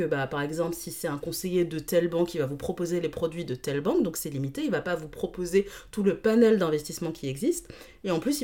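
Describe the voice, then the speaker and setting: A woman's voice: medium pitch, dark tone. A young woman hosting a podcast, explaining things in an informal, personal, conversational monologue. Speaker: podcast host.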